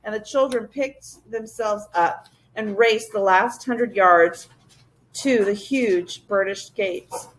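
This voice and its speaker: A woman reading a children's adventure story aloud as a teacher would, in expressive narration. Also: medium pitch, takes it slowly, poor audio.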